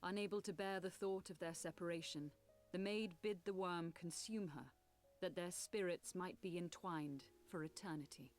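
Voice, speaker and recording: An actress playing a solemn noble rebel woman in a fantasy game, telling tragic history in formal, archaic language with measured delivery. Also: fairly low pitch, steady tone, soft background music, natural voice. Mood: mournful storytelling. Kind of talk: theatrical fantasy game acting.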